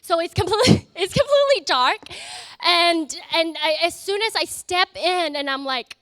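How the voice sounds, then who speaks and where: A young woman from Vietnam with a Vietnamese accent, giving an earnest, halting personal testimony to an audience, full of fillers and restarts. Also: very high-pitched, animated, fairly bright tone, no echo. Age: young adult. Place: event in front of an audience.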